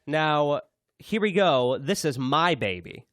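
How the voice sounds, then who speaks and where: A young man with a lively, up-and-down voice co-hosts a casual podcast. He is playful and now and then imitates instrument sounds. The recording is close and dry. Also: slow, dry recording. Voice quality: can go very low. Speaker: podcast co-host. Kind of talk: casual chat.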